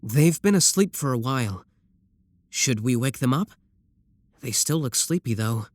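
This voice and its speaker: Man acting a deadpan devoted yandere sibling, close-sounding. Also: medium pitch, measured pace, dry recording, natural voice. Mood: hesitant. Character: devoted, earnest yandere kidnapper sibling, matter-of-fact. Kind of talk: scripted ASMR roleplay acting.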